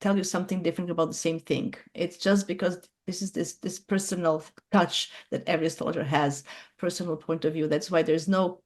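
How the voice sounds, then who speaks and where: A middle-aged woman from Croatia, hosting a casual group video call on astrology and talking haltingly, with many fillers and restarts as she searches for words. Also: on the low side, fairly even intonation.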